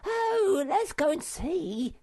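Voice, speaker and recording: A small, greedy young male creature from a storybook, acted by a woman, squeals in a very high voice. The delivery swoops about with slow, drawn-out words, always nervy and eager. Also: big pitch swings, a little mellow, exaggerated voice. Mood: thrilled.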